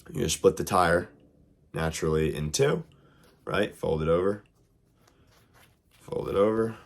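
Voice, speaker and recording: Brief: young man, very low voice, hoarse from illness, loose and rambling how-to host. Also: a little slow. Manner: loose, rambling, lots of fillers. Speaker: RC hobby video host. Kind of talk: casual how-to tutorial.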